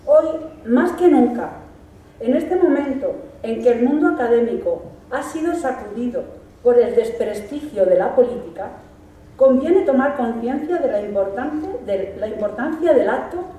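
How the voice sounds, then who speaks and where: A middle-aged woman with a Spanish accent, a teacher reading a formal graduation speech from a lectern, measured and emphatic. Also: on the high side, animated, mellow, recorded from a distance. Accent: Spanish from Spain. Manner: measured, emphatic delivery with lists. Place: large hall stage. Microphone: stand mic at a lectern. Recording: echoey hall, recorded from afar.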